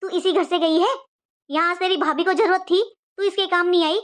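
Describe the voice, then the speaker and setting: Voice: very high voice, fairly even intonation, a little fast, fairly bright tone. A performer voicing an anxious, morally stern middle-aged mother in a cartoon moral story, emotional and reproachful. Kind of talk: animated moral story dialogue.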